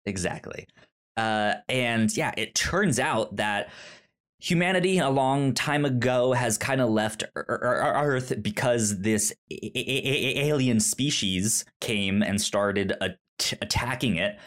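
A man hosting a casual book discussion, halting and rambling, with a stutter that repeats sounds and a self-deprecating manner. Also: mid-range voice, a bit bright. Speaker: podcast host.

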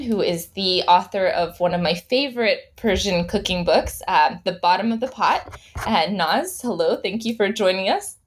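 A woman's voice: medium pitch, a little hiss or hum. A woman hosting a podcast, talking in a chatty way with fillers and restarts. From United States. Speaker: podcast host. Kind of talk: podcast interview.

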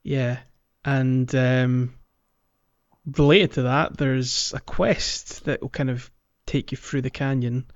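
A man talks through a video game as a podcast co-host, casual but thorough in his explanations. His voice sits at a middle pitch. The sound is very dry and a little dull, like a modest home microphone. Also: level tone, takes it slowly, no room sound. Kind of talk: casual gaming chat.

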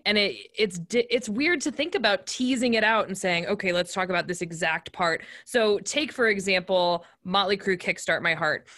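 Bright-voiced woman hosting music radio. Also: mid-range voice. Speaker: radio show host. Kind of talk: music radio presenting and interviewing.

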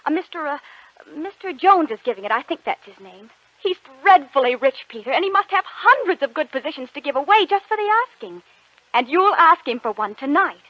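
A woman's voice: high voice, a little fast, no echo. An actress playing an eager young woman in love who coaxes her sweetheart into a bold plan, in an old radio drama. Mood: coaxing. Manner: eager, coaxing, animated. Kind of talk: 1940s radio acting. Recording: dull lo-fi old radio recording.

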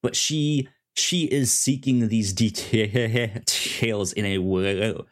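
Male podcast co-host, deep-ish register, unhurried, stop-and-start delivery with repeated words, informal review chat. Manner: halting, repeats words and restarts often.

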